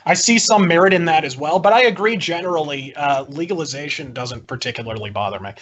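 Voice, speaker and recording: A man's voice: a little high, quick pace, fairly bright tone, slight background noise. A man in a political debate, arguing his case in wordy, articulate sentences. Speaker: debate guest. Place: indoor room, video call.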